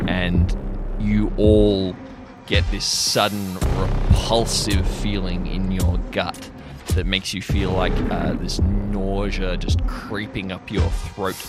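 A man working as game master, improvising fantasy narration in a casual, halting, conversational way. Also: deep voice. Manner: conversational, frequent "like" and trailing pauses.

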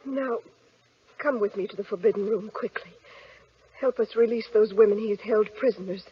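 A woman's voice: medium pitch, normal speed, lightly acted. A woman playing a villain's young wife in an old children's radio drama, in a melodramatic style. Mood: relieved. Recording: muffled, faintly noisy old radio recording.

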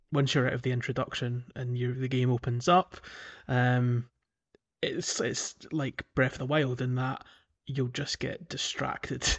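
Easygoing man with a mid-pitched voice. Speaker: podcast co-host. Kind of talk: casual chat about a video game.

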